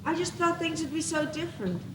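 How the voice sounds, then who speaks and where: A woman's voice: very high voice, animated, a little fast. A young teenage girl acting a worried, mixed-up schoolkid in a school stage play. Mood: worried, wistful. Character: worried, mixed-up schoolkid regretting a big change. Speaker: student actor. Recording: echoey noisy 1980s school TV tape.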